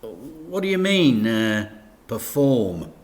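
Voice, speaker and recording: A man's voice: mid-range voice, very animated, slow. A man voicing a reluctant, evasive father in a children's storybook, hesitant and protesting. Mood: nervous. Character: reluctant, grumbling, evasive father of a young boy.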